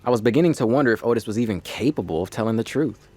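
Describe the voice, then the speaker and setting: An actor playing a sardonic ghost who narrates a first-person audio drama in a wry, folksy storytelling manner. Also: male voice, mid-range voice, expressive, racing, darkish tone, lightly acted. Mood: suspicious, wry. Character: sardonic male ghost narrating his own haunting.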